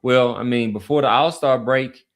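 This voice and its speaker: A man speaks in a mid-pitched voice as a sports podcast co-host. His delivery is casual and conversational, built around making an argument in a back-and-forth debate. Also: moderate pace.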